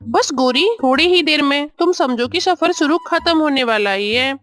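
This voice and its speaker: A sly male crow from a children's tale, put on by a woman narrator. The voice sits high, smooth-talking and coaxing with a scheming edge. Music runs underneath. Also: normal speed, a bit bright. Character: sly, flattering male crow luring with sweet promises.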